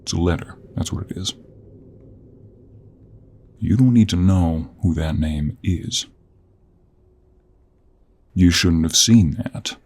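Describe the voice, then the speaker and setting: A man playing a gruff, teasing cowboy monster hunter with a Western American drawl and a gravelly, husky voice, in an intimate audio roleplay. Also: sits low, takes it slowly, pauses often, darkish tone, exaggerated voice. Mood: stern, guarded.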